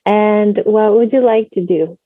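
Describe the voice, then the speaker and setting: A woman's voice: medium pitch, measured pace. A woman hosting a casual radio interview, speaking in an encouraging, attentive way. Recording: dull lo-fi audio.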